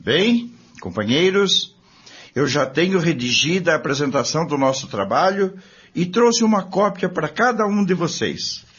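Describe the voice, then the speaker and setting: A man with a Brazilian accent playing an earnest, courteous spiritist group leader in a radio drama, formal and deliberate. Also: a little high, big pitch swings, a bit bright, slightly rough, full character voice. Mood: earnest, organizing. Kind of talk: amateur radio drama acting. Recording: dull lo-fi, music behind.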